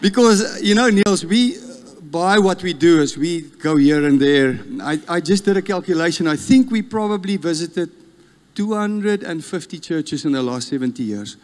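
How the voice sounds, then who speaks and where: A man in his fifties with a South African Afrikaans accent, preaching a sermon and telling stories with lively, self-mocking humour. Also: on the high side, normal speed. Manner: storytelling, animated, laughs at himself. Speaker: guest preacher. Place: church hall. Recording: long church reverb.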